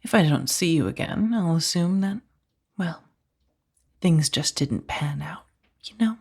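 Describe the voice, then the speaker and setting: A woman playing a powerful, coolly amused mafia boss in a soft-spoken ASMR roleplay, unhurried and condescending, with a breathy, husky voice. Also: deep voice, expressive, full character voice. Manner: unhurried, velvety menace with condescending endearments.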